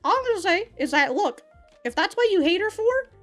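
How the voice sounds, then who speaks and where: A woman's voice: very high-pitched, a little fast, soft background music. A young adult woman hosting an anime reaction vlog, rambling through a casual review with frequent restarts and laughs. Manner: rambling, animated, laughs often, many restarts.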